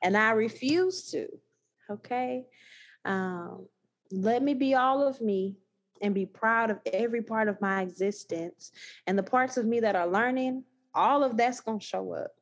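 A woman with a thick Texas accent, talking as a guest in a casual podcast conversation, animated and emphatic with frequent laughter. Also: a little high, unhurried. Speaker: guest, new scholar.

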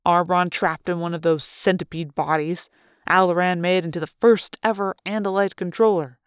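A woman voicing a smug, sneering adult man who taunts in a slow drawl. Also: fairly low pitch, fairly even intonation, smooth, dry recording, studio quality, lightly acted. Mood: mocking.

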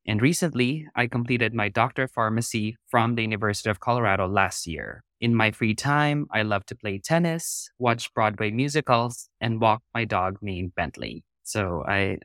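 The guest on a career podcast, a thirties man with a lowish voice, telling his story in a dry-sounding recording. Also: a bit bright, no room sound. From Philippines. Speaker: podcast guest, pharmacy resident. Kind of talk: interview, recounting career.

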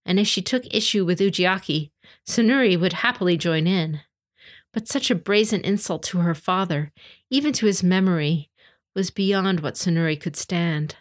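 A woman's voice: fairly low pitch, normal speed. A woman narrator reading a scripted fantasy story in an audiobook style.

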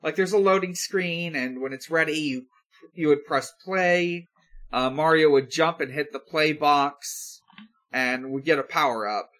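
A man co-hosting a casual podcast recap. He talks at a slow, unhurried pace and often stops mid-thought, hesitating before he picks the thread back up. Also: fairly high pitch, fairly bright tone. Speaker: podcast host. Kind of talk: casual recap talk with co-hosts.

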